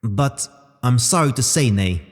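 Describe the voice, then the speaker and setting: A man voicing a calm, obliging artificial intelligence in a sci-fi audio drama, speaking formally and precisely. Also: mid-range voice. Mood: measured, formal. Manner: measured, formal, precise reporting. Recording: long reverberant tail.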